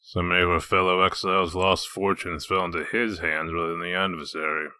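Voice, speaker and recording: A man voicing a gruff, foul-mouthed wolf detective in human form, blunt and sardonic, in a comic dub. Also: low-pitched, flat delivery, brisk, pushed and bright, no echo. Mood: wry.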